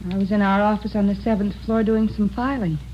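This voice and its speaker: An actress playing an earnest, precise stenographer giving formal, matter-of-fact testimony in a 1950s radio drama. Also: female voice, medium pitch, steady tone, brisk, close to an everyday voice. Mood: composed, formal. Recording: muffled, noisy old radio recording.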